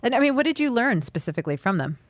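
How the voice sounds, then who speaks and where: A woman's voice: on the high side, big pitch swings, rapid-fire, no echo. A woman hosting a studio interview, introducing a guest and asking direct questions. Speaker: host.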